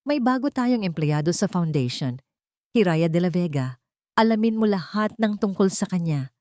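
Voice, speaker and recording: A woman's voice: fairly low pitch, lively intonation, moderate pace, dark tone, dry recording, clean studio sound, natural voice. A woman voicing a commanding, protective wealthy male boss in a story, speaking firmly. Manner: firm, full of command.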